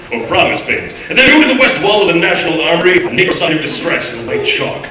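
A man's voice: sits high, sing-song, fast, a crowd in the background. A man acting a crime-drama character in a live, comic cold read of an old radio play. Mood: commanding. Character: male radio crime-drama vigilante and nervous petty crook. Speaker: panel voice actor. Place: large hotel function room, recorded from audience. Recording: roomy, distant convention-hall recording with audience noise.

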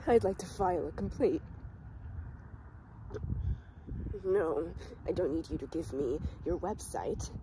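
A woman performing a dramatic monologue as a bitter, desperate teenage outcast on an emergency call, halting and emotionally intense. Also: sits low, noisy background, close to an everyday voice. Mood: agitated, pleading. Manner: slow, halting, emotionally intense delivery with pauses.

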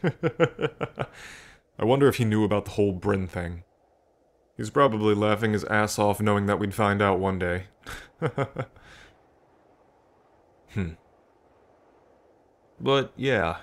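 A man playing a fond, reflective dragon king in an intimate ASMR roleplay, musing aloud to the listener with pauses. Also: fairly low pitch. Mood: amused. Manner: unhurried, musing, pausing, softly chuckling between thoughts. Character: adult male dragon king, fond, reflective, proud of ancestors.

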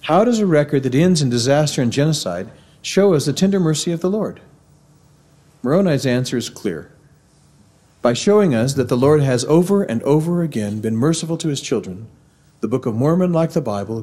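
Middle-aged missouri guy giving a formal campus talk, warm mellow voice, steady earnest pace, podium mic in a big auditorium. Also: fairly high pitch. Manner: measured, earnest lecturing. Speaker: university speaker. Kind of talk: formal devotional address. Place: large university auditorium. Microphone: podium microphone.

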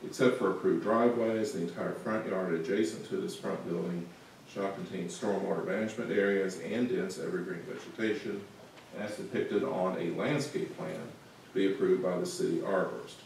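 A male planning staff member with a Southern US accent, reading out conditions in a measured, methodical way at a public meeting. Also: low voice, fairly even intonation, creaky, darkish tone. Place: large council meeting room. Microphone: room recording from far camera. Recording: echoey room, distant mic, faint noise.

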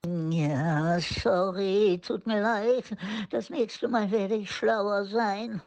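A man voicing a sheepish, slow-witted motorist in an audio drama, hesitant and stammering. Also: sits high, fairly even intonation, creaky, darkish tone, voice pushed up, heavily acted, poor audio. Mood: sheepish, apologetic.

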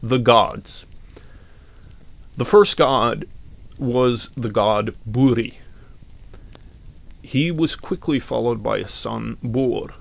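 Slow, gravelly-voiced Norwegian man. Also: mid-range voice. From Norway. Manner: slow and deliberate, with pauses and some fillers. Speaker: podcast host. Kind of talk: reflective commentary on mythology.

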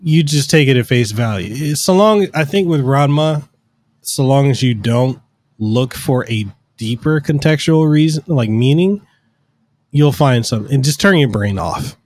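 A man's voice: mid-range voice, expressive, moderate pace, darkish tone. Man rambling casually on a podcast. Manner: loose, rambling explanations with fillers. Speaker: podcast co-host. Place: home studio room. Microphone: studio mic on arm, headphones.

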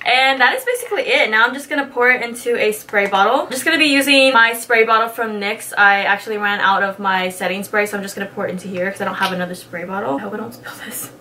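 A woman's voice: mid-range voice, quick pace, few pauses, noisy background. A woman in her twenties chatting casually through a beauty tutorial, bubbly and slangy, swearing casually, in a small indoor studio. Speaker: beauty vlogger.

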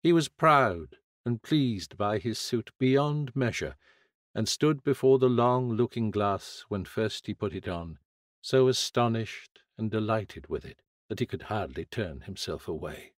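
A man's voice: on the low side, lively intonation, measured pace, no room sound, studio quality. A man with an English accent narrating a fable as an audiobook reader.

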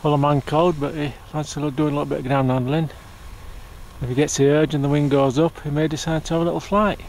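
A man's voice: on the high side, fairly even intonation, fast talker, a little mellow. A man giving a cheerful running commentary while filming a friend on an open hillside. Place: open hillside outdoors in wind. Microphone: handheld camera mic. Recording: faint wind noise outdoors.

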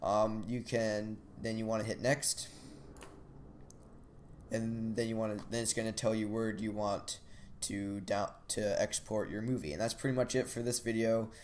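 Hesitant young man, low flat voice. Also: normal speed, a little hiss or hum. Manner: casual, hesitant, frequent ums and restarts. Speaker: tech channel host. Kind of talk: screen-recorded software tutorial.

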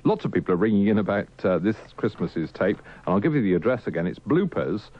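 A British man with a low voice chats as a radio presenter. He is slightly hesitant and self-deprecating, and the old tape sounds a bit muffled. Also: sing-song, quick pace. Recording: dull archive radio tape.

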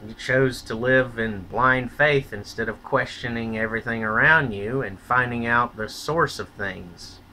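A man's voice: mid-range voice, a little slow, few pauses, sharp tone, a little hiss or hum, rough recording. A man delivering a blunt, profane, contemptuous opinion rant as a solo vlogger.

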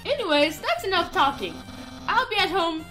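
A woman's voice: very high voice, sing-song, normal speed, pushed and bright, soft background music, heavily acted, poor audio. A woman voicing a boastful, friendly and naive male skeleton from a game, announcing things theatrically.

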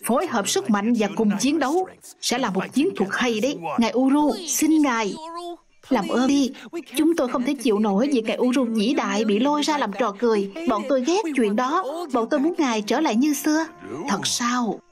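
A woman dubbing every character in a cartoon herself, reading the lines in quick, lively turns. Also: fairly high pitch, a crowd in the background. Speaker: dubbing narrator voicing all cartoon characters. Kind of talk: single-voice cartoon dub. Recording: dub over loud music.

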